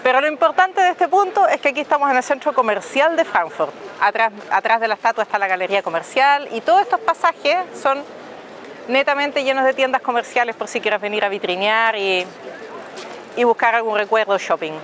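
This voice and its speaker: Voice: mid-range voice, a little fast, fairly bright tone. A travel vlogger, casually explaining city landmarks outdoors and asking the viewers questions. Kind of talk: casual travel narration to camera. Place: outdoors on a city tower deck and streets.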